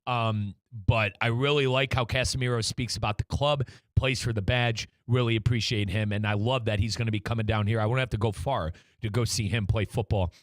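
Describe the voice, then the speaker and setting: A man's voice: on the low side, fairly even intonation, fairly quick, few pauses, dry recording. A man hosting a soccer talk show in a casual, opinionated and emphatic way. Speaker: soccer show host and radio play-by-play commentator.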